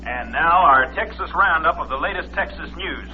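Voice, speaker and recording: A man acting a brisk, self-important radio newscaster in an old radio drama, punchy and declamatory. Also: fairly high pitch, lively intonation, natural voice. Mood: brisk, urgent. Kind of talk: old-time radio news announcing. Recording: muffled vintage radio recording with music.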